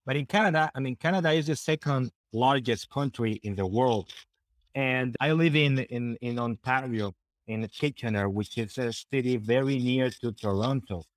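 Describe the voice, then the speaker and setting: A man's voice: mid-range voice, normal speed, no echo. A middle-aged man from Honduras with a Honduran Spanish accent, chatting as an interview guest and often repeating words as he searches for phrasing. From northern coast of Honduras. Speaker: podcast guest. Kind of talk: casual interview conversation.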